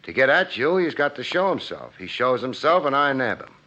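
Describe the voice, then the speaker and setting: An American actor playing a cool-headed, wisecracking private investigator in a 1950s radio drama, with a wry, clipped, hard-boiled delivery. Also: male voice, mid-range voice, sing-song, a little fast, sharp tone. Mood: grave, shrewd. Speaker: lead actor and narrator. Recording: muffled old radio broadcast, faint music.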